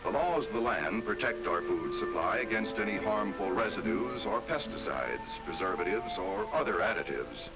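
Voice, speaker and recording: Deep American narrator, vintage educational film. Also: male voice, expressive, normal speed, few pauses, over loud music. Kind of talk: scripted 1960s documentary narration. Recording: hissy, noisy 1960s film soundtrack.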